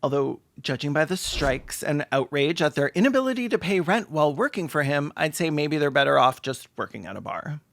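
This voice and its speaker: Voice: mid-range voice, animated, fairly quick, creaky, hardly any pauses, fairly bright tone, dry recording, studio quality. A person giving comedic reaction commentary as a video host, sarcastic, campy and theatrical.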